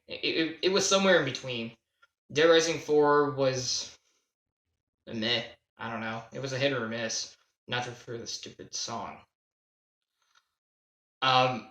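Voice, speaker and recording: A young man telling a casual, unscripted gaming story in a halting, self-deprecating way, stressing key words as he goes. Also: a little high, bright, forceful tone. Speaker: video creator telling a personal gaming story. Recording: roomy, echoey home recording.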